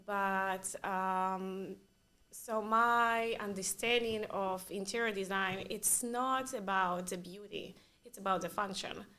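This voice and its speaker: A woman whose first language is Russian, a designer speaking as a guest in a casual interview, pausing to search for words in non-native phrasing. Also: medium pitch, fairly even intonation, sharp tone.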